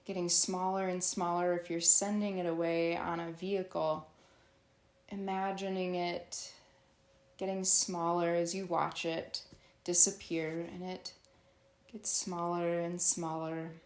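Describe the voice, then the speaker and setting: Slow-paced woman guiding a roomy meditation. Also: lowish voice, flat delivery. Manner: soothing, instructional, with long pauses. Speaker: guided meditation teacher. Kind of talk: guided yoga nidra meditation.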